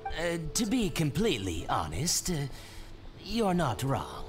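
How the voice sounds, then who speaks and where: A middle-aged video game shopkeeper, easygoing but flustered. His delivery is slow and halting, with extremely animated pitch. Music plays clearly behind him. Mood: sheepish. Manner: hesitant, stammering repeats.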